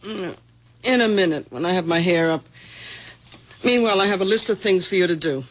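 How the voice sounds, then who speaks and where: A nagging, impatient American wife in a 1970s radio mystery. Her voice is sharp and forceful, with a brisk, commanding manner. The recording is a dull vintage broadcast. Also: lowish voice. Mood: preoccupied. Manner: scolding, commanding.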